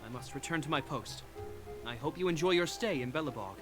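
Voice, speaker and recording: A man's voice: fairly high pitch, animated, natural voice. A man playing a formal, dutiful guard captain. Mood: courteous. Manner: polite, measured and formal. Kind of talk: video game voice acting. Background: game music.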